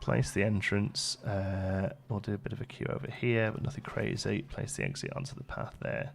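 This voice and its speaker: A man's voice: on the low side, steady tone, normal speed, vocal fry. A man hosting a let's play, chatting casually and thinking aloud as the game goes along. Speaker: gaming video host.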